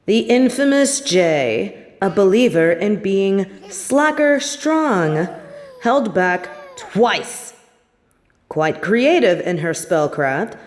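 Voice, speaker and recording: Woman acting a scornful older headmistress, fantasy audio drama, cutting, slow and deliberate, long reverb. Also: mid-range voice. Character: stern, scornful older headmistress of a magic school. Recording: long reverberant hall with background noise.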